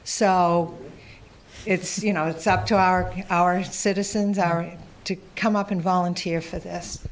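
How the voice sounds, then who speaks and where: A woman's voice: on the low side, steady tone, measured pace, slight background noise, rough recording. A woman organizer encouraging residents to volunteer for training.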